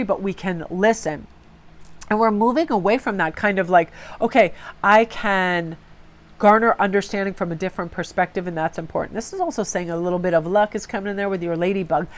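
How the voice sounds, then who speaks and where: A woman with a congested head cold, giving a chatty, excitable tarot reading to her viewers as a channel host. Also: mid-range voice. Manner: chatty and excitable, spiritual encouragement. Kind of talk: casual tarot reading to camera.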